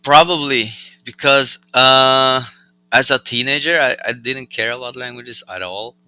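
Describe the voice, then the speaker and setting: Norwegian guy, young, bright clear voice, rambly and laid-back, lots of "like". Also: medium pitch, measured pace. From Norway. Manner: relaxed and rambling, many likes and fillers. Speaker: rapper guest. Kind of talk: casual conversational interview.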